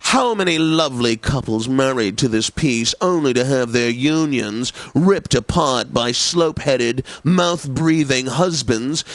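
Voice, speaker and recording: A male actor plays a snobbish classical music DJ for a game radio station. He announces in a mid-pitched male voice with grand, careful enunciation and a condescending air. The sound is close and dry. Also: lively intonation, dry recording, natural voice, rough recording. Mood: snide, condescending. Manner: pompous, theatrical, deliberate enunciation.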